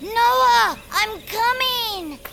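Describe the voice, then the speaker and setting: A woman voicing a small, childlike, earnest and anxious friend in an audio drama, with a squeaky, creaky voice and hesitant manner. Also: extremely slow, full character voice. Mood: worried urgency. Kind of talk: audio fiction acting. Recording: clear background noise.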